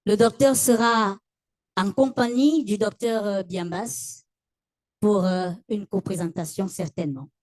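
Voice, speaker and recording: A woman's voice: medium pitch, a little slow, darkish tone, dry recording. A woman, presenting a formal ceremony as master of ceremonies in a stately, ceremonious manner. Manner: ceremonious, stately, often addressing the audience.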